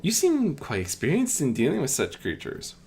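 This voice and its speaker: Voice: mid-range voice, wildly swooping pitch, moderate pace, darkish tone, slight background noise, pitched above the performer's own voice. A performer voicing a blunt, confident, mischievous warrior woman with a cool, teasing manner in a storybook. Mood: courteous, curious.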